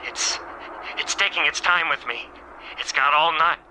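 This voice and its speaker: An actor playing a terrified, obsessed man besieged by a living wind in a radio drama, halting and overwrought. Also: fairly high pitch, sharp tone. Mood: haunted dread. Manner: overwrought, halting, breaking into manic laughter and cries. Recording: noisy old radio drama broadcast.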